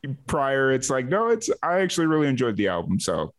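Casual male interview host, halting delivery. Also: mid-range voice, sing-song. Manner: halting, repeats words.

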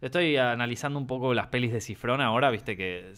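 A man's voice: mid-range voice, a little fast, a bit bright, smooth. A man with an Argentine Spanish accent, chatting casually about films, rambling with many fillers and restarts.

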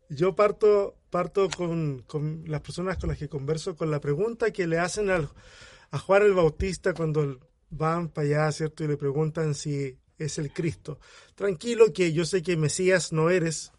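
A man in his forties with a notably high-pitched voice hosts a relaxed interview. He talks in a chatty, friendly way and laughs easily. The sound is close and dry, with no room around it. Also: dry recording. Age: 40s. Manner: chatty, laughs often, addresses guest by name. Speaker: podcast host. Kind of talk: casual interview.

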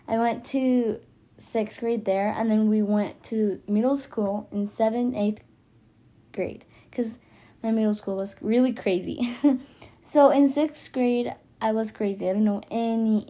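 A woman's voice: mid-range voice, dark tone. A teenage girl, a native Spanish speaker with a Spanish accent, talking casually and hesitantly in a self-conscious, rambling podcast monologue. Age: teenager, high school freshman. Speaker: podcast host.

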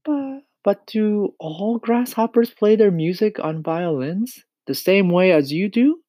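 Man as small storybook boy, raised pitch, lively swings, polite, earnest, curious. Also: slow, no room sound, studio quality. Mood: curious wonder. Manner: earnest, eager questioning.

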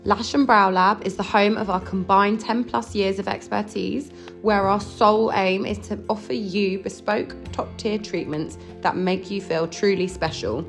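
A woman's voice: medium pitch, steady tone, normal speed. A woman who co-owns a salon reads a scripted ad in a polished promotional tone. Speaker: salon co-owner in an ad. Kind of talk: scripted ad read. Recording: voice over background music.

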